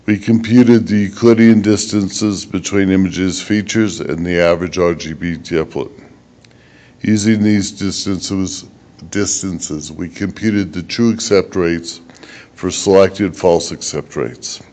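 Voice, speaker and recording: Guy with a really deep voice doing a technical research talk, kinda halting and measured. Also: steady tone, darkish tone. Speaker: researcher presenting a paper. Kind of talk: technical conference presentation.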